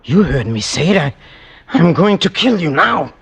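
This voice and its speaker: An actor playing a lonely, unhinged killer in a vintage radio thriller, speaking haltingly and obsessively, repeating himself. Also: male voice, on the high side, big pitch swings, creaky, fairly bright tone, husky, full character voice. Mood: obsessed, menacing. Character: lonely, unhinged young male killer, brooding and self-pitying. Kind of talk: 1940s-50s radio thriller acting. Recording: dull vintage radio recording.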